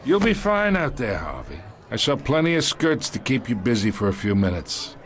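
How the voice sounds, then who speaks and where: A man's voice: mid-range voice, very animated, moderate pace, close to an everyday voice. Man acting a smug, menacing mob boss. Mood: condescending. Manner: slangy, swaggering, taunting. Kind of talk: video game voice acting.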